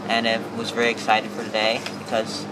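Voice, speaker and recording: A man's voice: on the low side, monotone, a little fast. A young man speaking casually on camera as a club member and event volunteer. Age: young adult. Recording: loud outdoor ambient noise.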